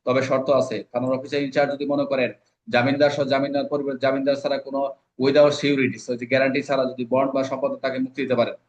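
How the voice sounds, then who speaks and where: A man's voice: medium pitch, level tone, racing. A man with a Bangladeshi accent lecturing informally as an exam coach, repeating points, asking and answering his own questions and mixing in English legal terms. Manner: repetitive, drilling with rhetorical questions he answers himself. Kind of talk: informal lecture explaining legal code sections. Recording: dull, lo-fi audio.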